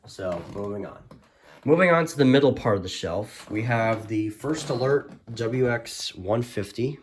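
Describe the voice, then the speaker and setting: A man's voice: mid-range voice, lively intonation, a little slow. Hesitant man rambling over a noisy recording. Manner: hesitant, rambling, self-correcting. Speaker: host of a radio collection video. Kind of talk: casual unscripted show-and-tell. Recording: noisy home recording with clicks.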